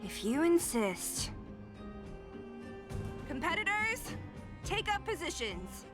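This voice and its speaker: A young woman playing a wry, no-nonsense fighter in a video game, with a dry, measured delivery. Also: very high-pitched, big pitch swings, music in the background, heavily acted. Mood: announcing. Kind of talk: scripted video game dialogue.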